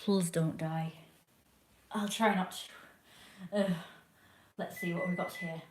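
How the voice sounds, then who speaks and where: A young English woman with a slightly low voice that is roughened by a sore throat. She chats casually to a viewer from home, airing strong opinions and slipping into quiet, muttered asides. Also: fairly even intonation, takes it slowly, background noise. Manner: opinionated, chatty, drifts into hushed asides. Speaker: livestreamer chatting with a viewer. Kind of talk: casual rambling chat. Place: indoors at home, curled in a chair.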